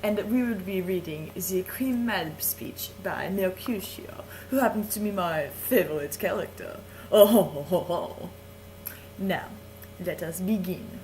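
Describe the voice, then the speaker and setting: A woman voicing a vain, flirtatious adult Frenchman who reads verse aloud in a slow, suggestive way. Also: fairly low pitch, darkish tone, slight background noise, low-quality recording. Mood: sultry. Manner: slow, lingering, suggestive delivery with chuckles. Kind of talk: playful dramatic reading of verse.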